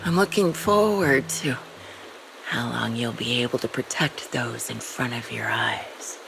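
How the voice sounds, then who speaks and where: A woman's voice: noisy background, full character voice. A woman playing a bitter, weary ex-soldier villain in a game cutscene, coldly mocking and brooding in a theatrical way. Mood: weary resignation. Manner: slow, brooding, theatrical delivery with breathy low chuckles. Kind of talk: dramatic game cutscene acting. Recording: voice under loud music. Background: loud music.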